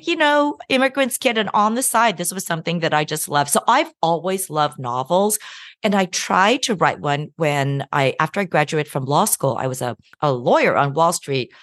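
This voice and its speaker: A middle-aged woman speaks in a lowish voice that swings up and down freely as she answers an interviewer. She talks in an animated, chatty way and laughs often. The sound is dry and close. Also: no room sound. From United States. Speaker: guest, law professor and author.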